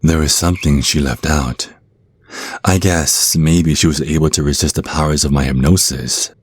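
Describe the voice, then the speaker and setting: Man voicing a very deep, husky vampire naga. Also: fairly even intonation, no echo. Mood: controlled menace. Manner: condescending, deliberate, falsely sweet menace. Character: menacing male vampire naga hypnotist, coldly condescending brother. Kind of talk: ASMR roleplay acting. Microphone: close microphone, dry.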